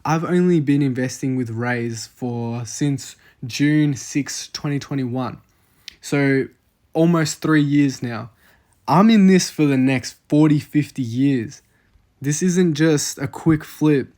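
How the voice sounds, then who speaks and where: A man's voice: medium pitch, slow pace, a little mellow. Casual young Australian man, thinking aloud. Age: 20s. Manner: casual, filler-heavy, thinking aloud over numbers. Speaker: investing vlogger. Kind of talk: casual screen-share walkthrough.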